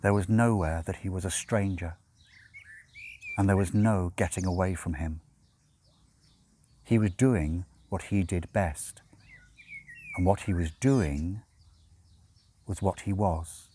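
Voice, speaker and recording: A man reading a novel aloud as expressive narration, with measured, dramatic phrasing and deliberate pauses. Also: sits low, a little hiss or hum. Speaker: audiobook reader.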